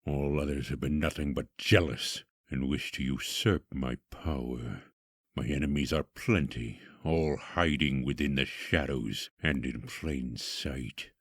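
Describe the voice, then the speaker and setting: A man's voice: rumbling low, unhurried, husky, no room sound, clean studio sound, exaggerated voice. An actor playing a vain, paranoid tyrant king in a fantasy audio drama, pompous and threatening. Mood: grandiose, brooding.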